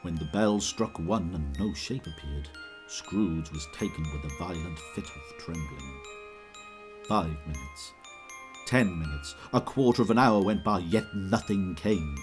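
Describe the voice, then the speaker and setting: A man narrating an audio drama in measured, vivid storytelling. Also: low voice, over music.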